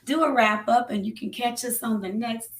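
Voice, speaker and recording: A woman's voice: on the high side, moderate pace. A woman with an American accent chatting casually as a podcast host. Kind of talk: casual chat. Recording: roomy, echoey sound.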